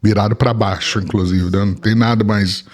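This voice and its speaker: A middle-aged man with a very low, deep voice, Arabic as his first language. He speaks slowly and reflectively, stumbling over and repeating words as he searches for what to say. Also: steady tone. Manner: halting and reflective, many restarts and repeated words, keeps adding "né?". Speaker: podcast guest, entrepreneur. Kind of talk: personal storytelling in a conversation.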